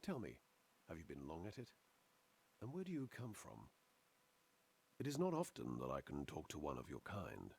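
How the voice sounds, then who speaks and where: A man's voice: very deep voice, huge pitch swings, moderate pace, creaky, long pauses, a little mellow, breathy edge, voice pushed down, full character voice. A man voicing a deferential, curious old-fashioned tavern storyteller with theatrical, archaic phrasing, in a noisy recording.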